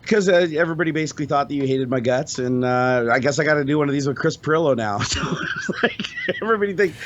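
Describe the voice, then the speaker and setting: A man trades casual jokes as a stream guest. He talks quickly, with a lively, varied pitch. Faint noise and clicking sit behind his voice. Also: dull audio. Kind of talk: casual, joking banter. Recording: clear background noise, clicks.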